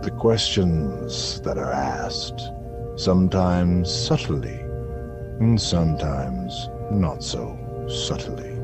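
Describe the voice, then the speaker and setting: Grandiose radio science reporter, eerie and portentous, widely swinging intonation, slow dramatic pauses, music behind. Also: male voice, rumbling low, animated, exaggerated voice. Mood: solemn, probing. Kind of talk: theatrical scripted radio monologue.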